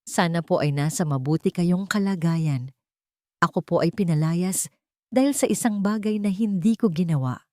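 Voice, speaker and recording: Storybook nurse played by a woman narrator, Filipino, earnest, respectful plea, lowish voice, dry sound. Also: normal speed, dark tone, no echo, close to an everyday voice. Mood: earnest pleading. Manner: respectful, pleading. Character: earnest, anxious young female nurse writing a plea.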